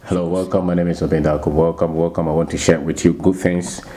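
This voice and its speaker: Very deep-voiced Ghanaian man. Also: level tone, mellow. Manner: unhurried, repeats words and restarts, chuckles at himself. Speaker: channel host. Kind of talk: casual talk to camera.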